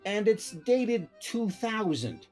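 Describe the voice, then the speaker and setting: An actor as a needling mob sidekick, lively pitch. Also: slow, soft background music. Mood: nagging, fussy. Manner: wheedling, needling, talkative. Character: chatty, nagging mob sidekick at a pizzeria. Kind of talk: comic radio play acting.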